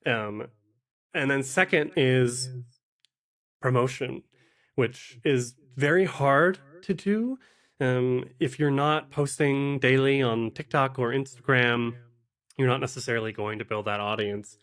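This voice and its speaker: A man with a medium-pitched voice speaks as an interview guest. He explains his views conversationally, in a thoughtful, slightly halting way, like a game master talking shop. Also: fairly bright tone.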